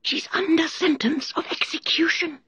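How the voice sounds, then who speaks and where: A man voicing a timid, anxious male rabbit from a storybook, hushed and fearful. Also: very high-pitched, very animated, a little slow, voice pushed up, exaggerated voice.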